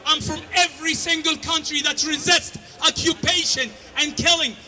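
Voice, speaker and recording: Man shouting a rally speech. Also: very high-pitched, fairly even intonation, normal speed, soft background music. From Egypt. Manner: shouting slogans, leading chants. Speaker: protest speaker. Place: outdoor street protest.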